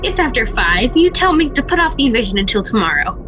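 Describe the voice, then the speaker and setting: A woman's voice: a little high, big pitch swings, quick pace, music in the background. A woman playing a frantic, terrified wife and mother in an amateur audio horror drama, pleading and repeating herself. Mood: anxious, evasive. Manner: pleading, stammering repetition.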